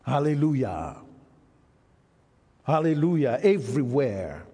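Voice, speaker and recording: A man's voice: medium pitch, big pitch swings, slow pace, mellow, husky. A man, Isoko his tongue, preaching a sermon and engaging the congregation with questions. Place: church hall. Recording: reverberant hall.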